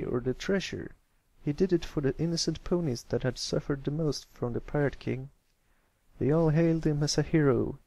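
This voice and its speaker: A man's voice: on the high side, creaky, warm tone, dry recording, pitched above the performer's own voice, poor audio. A man voicing an earnest pony telling a heroic tale in a dramatic storybook manner.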